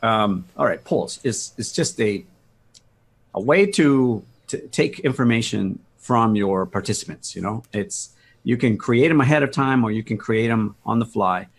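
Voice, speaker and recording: A man with a gravelly, husky voice giving a casual software walkthrough on a video call, thinking aloud hesitantly. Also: lowish voice, measured pace. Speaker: guest demonstrating software.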